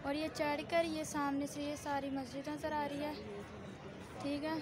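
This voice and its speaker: A woman's voice: high-pitched, level tone, unhurried. A young woman vlogger casually narrating a walking tour, often checking in with "theek hai" as she shows things around. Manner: chatty, keeps adding "theek hai" while showing around. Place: outdoors at a large mosque courtyard. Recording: outdoor phone recording with crowd and ambient noise.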